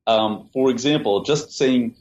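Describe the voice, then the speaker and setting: A man answering interview questions at length, in a measured way, often repeating words as he thinks. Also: mid-range voice, a little mellow. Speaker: interview guest, translator. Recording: dull lo-fi sound, faint hiss.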